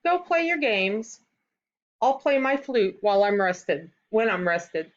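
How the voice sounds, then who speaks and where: A woman's voice: medium pitch, takes it slowly, natural voice. A woman voicing a clever, coaxing female turtle in a storybook tale, on a slightly dull recording. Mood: placating. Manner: coaxing, innocent persuasion.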